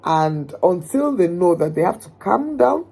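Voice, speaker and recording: A woman from Sierra Leone with a hoarse voice, speaking earnestly and emphatically about politics, with frequent ums. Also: fairly low pitch, sing-song, unhurried, mellow. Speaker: political commentator. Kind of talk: direct-to-camera opinion talk.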